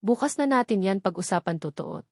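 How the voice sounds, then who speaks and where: A woman's voice: medium pitch, animated, brisk, no room sound, clean studio sound, natural voice. Woman narrator as anxious young Filipina in love. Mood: evasive. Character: anxious, guilt-ridden young woman in secret affair.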